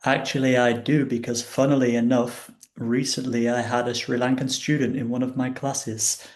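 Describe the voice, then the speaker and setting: A man with an English accent, a guest teacher speaking politely and calmly to students on a video call. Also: medium pitch. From England. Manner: polite, measured, reassuring. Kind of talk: live online class conversation.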